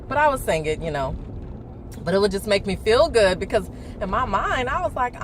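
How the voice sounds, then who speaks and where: A woman chatting casually on a morning vlog, rambling and laughing as she reflects, her voice deeper before coffee. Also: fairly bright tone, a little hiss or hum. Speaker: vlogger. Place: indoors, talking to her phone. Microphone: phone.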